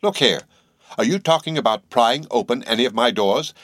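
A man voicing a bossy middle-aged innkeeper woman who scolds and gives orders briskly. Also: mid-range voice, animated, fairly bright tone, dry recording, voice pushed up. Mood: scolding.

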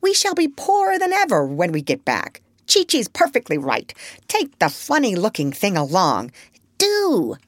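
A woman voicing a bossy, practical female duck in a storybook, nagging in a brisk, exclamatory way. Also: mid-range voice, wildly swooping pitch, no echo, studio quality, pitched above the performer's own voice. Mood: exasperated, insistent.

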